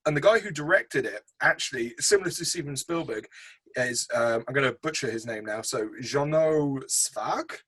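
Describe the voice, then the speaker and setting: A man's voice: mid-range voice, lively intonation, moderate pace, fairly bright tone, husky, low-quality recording. A man co-hosting a film review podcast, chatting casually in a rambling, matey, informal way.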